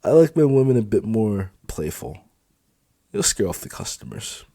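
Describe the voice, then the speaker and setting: A man's voice: fairly low pitch, very animated, moderate pace, mellow, no echo, clean studio sound, pitched above the performer's own voice. A man voicing a smug, crude club supervisor in an audiobook, dismissive and impatient with a sneering manner. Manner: sneering, exasperated, mouthy. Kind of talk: dramatised audiobook dialogue.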